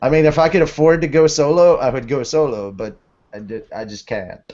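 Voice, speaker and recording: A man's voice: medium pitch, fairly even intonation, fairly quick, darkish tone. A man co-hosting a casual podcast in a playful, jokey way. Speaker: podcast co-host. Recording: muffled lo-fi podcast recording.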